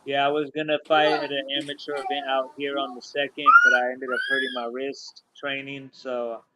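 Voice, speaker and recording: A man's voice: mid-range voice, little pitch movement, fairly quick, heavy background noise. A man in his thirties, a guest fighter in a casual interview, earnest and full of "you know" and "honestly". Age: 30s.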